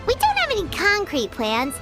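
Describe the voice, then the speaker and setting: A woman voicing a small, bubbly, curious fairy companion in a video game, with a squeaky childlike voice. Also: huge pitch swings, moderate pace, full character voice. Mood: cheerful. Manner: chirpy, refers to self in third person. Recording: loud music behind the voice.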